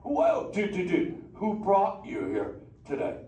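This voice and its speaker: A man's voice: sits high, sing-song, measured pace, mellow. A man playing a laid-back, goofy surfer dude sea turtle, bantering in drawling slang at a live theme park show. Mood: excited. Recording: echoey theme park theater, distant, noisy.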